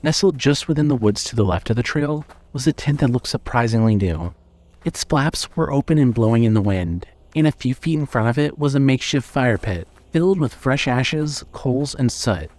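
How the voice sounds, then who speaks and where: A man narrating scripted horror fiction in a measured, dramatic storytelling manner. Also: mid-range voice, expressive, darkish tone.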